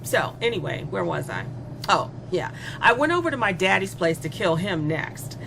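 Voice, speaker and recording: A woman's voice: fairly low pitch, big pitch swings, normal speed, vocal fry, background noise. A woman playing a spoiled, bored young female killer in a horror audio drama, confessing with sardonic, casually menacing glee. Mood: gloating, chatty.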